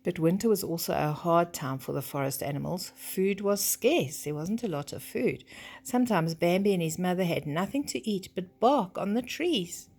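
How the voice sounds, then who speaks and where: A woman's voice: on the low side, expressive, moderate pace, darkish tone, husky. An older woman storyteller reading a children's story expressively, with chatty asides that explain things simply.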